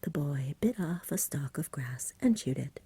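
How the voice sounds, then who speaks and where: A woman reads a storybook in a gentle ASMR manner. Her voice is low, very breathy and mostly whispered, with a mellow softness. She takes her time and stays hushed. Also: animated, measured pace, no room sound. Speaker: storybook reader. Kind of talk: soft-spoken ASMR bedtime story reading.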